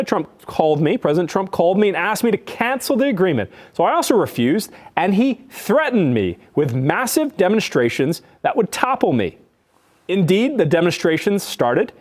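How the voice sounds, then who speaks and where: A man in his thirties reporting on a news discussion show, halting and emphatic, repeating phrases as he reads out and explains a report. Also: high voice, darkish tone. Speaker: news correspondent. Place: television studio.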